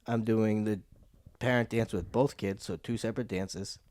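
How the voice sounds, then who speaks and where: A man's voice: fairly low pitch, normal speed, no room sound. A man, a podcast guest who tells stories with energy and acts out the voices of the people in them. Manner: animated storyteller, acts out voices. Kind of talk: casual chat.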